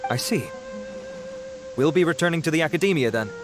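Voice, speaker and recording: A man's voice: a little high, lively intonation, brisk, lightly acted. Man doing a stiff formal officer giving a report, normal voice, light music behind. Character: adult male security officer, formal and businesslike. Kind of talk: game cutscene voice acting.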